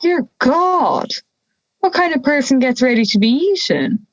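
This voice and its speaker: A woman's voice: high-pitched, lively intonation, measured pace, dry recording, dull audio. A young woman playing a touchy, wry, harmless talking wolf in an audio drama, indignant and teasing.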